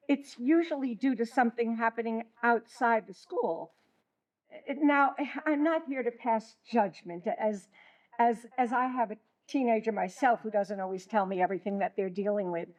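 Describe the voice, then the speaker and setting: A woman playing an earnest, flustered schoolteacher in a stage play, speaking diplomatically with halting repetitions. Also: on the high side, darkish tone, close to an everyday voice, low-quality recording. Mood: diplomatic. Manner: hesitant, stammering, diplomatic.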